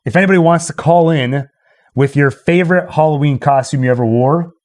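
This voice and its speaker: A man's voice: a little high, a little fast, a little mellow. A man hosting a livestream, chatting casually and recapping stories in a playful way. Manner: playful, joking. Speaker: livestream host. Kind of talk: casual chat and story recapping.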